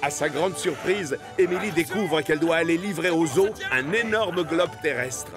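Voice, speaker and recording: A man narrating a children's cartoon story from a script, as an expressive storyteller. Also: on the high side, normal speed. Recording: music behind the voice.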